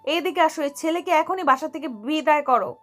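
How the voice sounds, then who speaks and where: A woman playing a quick-tempered, bossy young medical student in an audio love story. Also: sits high, faint music behind, close to an everyday voice. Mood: petulant complaining. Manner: scolding, bossy.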